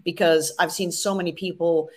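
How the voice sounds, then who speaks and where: A woman's voice: lowish voice, steady tone, normal speed. A middle-aged woman giving earnest podcasting advice in an interview. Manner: earnest, advising, with laughs. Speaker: podcast guest, podcasting coach. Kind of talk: interview answers.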